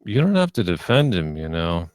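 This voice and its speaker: A man playing a cynical police detective in his thirties in a screenplay table read, creaky and blunt in delivery. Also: deep voice, big pitch swings, normal speed, darkish tone, no echo, clean studio sound. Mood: grim, disgusted.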